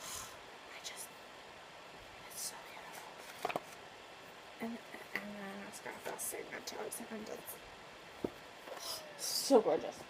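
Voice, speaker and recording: A woman's voice: fairly high pitch, noisy background. A woman book vlogger chatting casually to her viewers, gushing and effusive and laughing often. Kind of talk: casual chat to camera.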